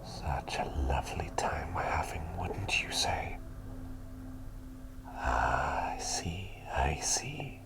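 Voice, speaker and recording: A man playing a gentle, doting plague doctor in a soothing whispered ASMR roleplay, attentive and softly playful. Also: a bass voice, very animated, slow pace, gravelly, exaggerated voice, rough recording. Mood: affectionate, shy.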